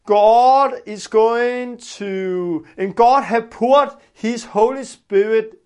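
A man's voice: very high-pitched, drawn-out words, darkish tone, clean tone. A man from Denmark with a Danish accent, a native Danish speaker, preaching in simple explanatory English and repeating phrases for emphasis. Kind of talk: informal teaching sermon with prayer.

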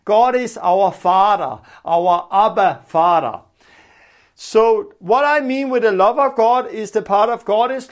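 A man from Denmark with a Danish accent, a native Danish speaker, preaching in simple explanatory English and repeating phrases for emphasis. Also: high voice. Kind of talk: informal teaching sermon with prayer.

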